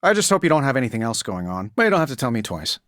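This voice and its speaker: Cocky, animated wisecracking superhero inventor. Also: male voice, medium pitch, dry recording, studio quality. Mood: wry, self-assured. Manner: sarcastic, glib, quick with quips. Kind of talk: video game character voice lines.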